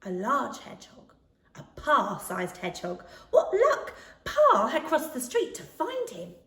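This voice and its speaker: A woman reading a children's story aloud as an expressive narrator. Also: high voice, a little slow. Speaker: storybook reader. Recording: echoey room, distant microphone.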